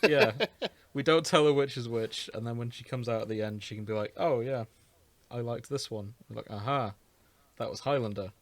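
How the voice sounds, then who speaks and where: A man's voice: medium pitch, normal speed. A man co-hosting a podcast in casual comedic banter, with an irreverent, crude sense of humour. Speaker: podcast co-host.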